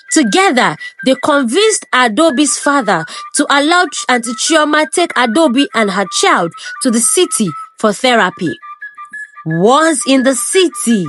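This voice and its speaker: A woman tells a folk tale in a high voice that rises and falls freely, keeping a steady storytelling pace. Music plays clearly beneath her narration. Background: background music.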